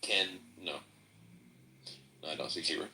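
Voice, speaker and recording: A man in a casual chat, talking in a rambling, animated way. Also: deep voice, measured pace, vocal fry, slight background noise. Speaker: podcast participant.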